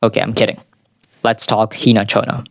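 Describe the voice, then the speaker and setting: A man's voice: on the low side, level tone, a little fast, creaky, fairly bright tone. A man giving casual, opinionated video essay commentary, informal and sweary, with dramatic asides. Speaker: anime reviewer. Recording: background music under voice. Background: music.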